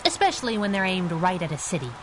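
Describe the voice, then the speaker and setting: A woman's voice: lowish voice, sing-song, quick pace, noisy background. A woman playing a plucky superhero sidekick, sassy, slangy and wry, in 1930s radio adventure style. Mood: wry banter.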